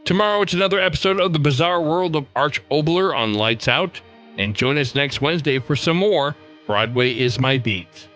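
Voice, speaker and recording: A man's voice: on the high side, expressive, moderate pace, a bit bright. A man hosting a podcast, reading a scripted sign-off with listener information. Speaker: podcast host. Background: music.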